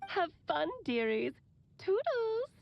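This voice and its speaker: A woman's voice: extremely high pitch, very animated, takes it slowly, creaky, lo-fi sound, full character voice. A woman voicing a playful, theatrical witch leaving a breezy, teasing recorded message in a video game. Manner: breezy, teasing, sing-song.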